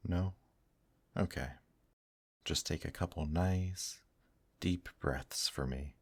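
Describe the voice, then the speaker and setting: A man playing a gentle, protective male demon in an intimate ASMR roleplay, speaking softly, tenderly and reassuringly to the listener. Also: low-pitched, breathy edge, no room sound, exaggerated voice. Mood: soothing, tender. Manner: soft, tender, reassuring, unhurried.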